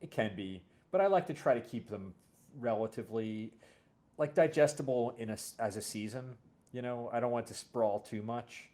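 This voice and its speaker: A man hosting a casual conversation as a series creator, thinking aloud in a halting way and often correcting himself. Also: mid-range voice, warm tone. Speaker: host and audio drama series creator. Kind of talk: casual interview chat.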